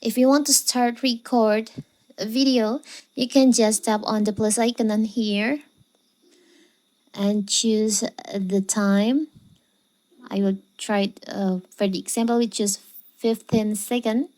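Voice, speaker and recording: A woman presents a scripted how-to tutorial as a channel host. Her voice sits a little high, and she takes her time through each instruction at a distinctly slow pace. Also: fairly even intonation, a little mellow.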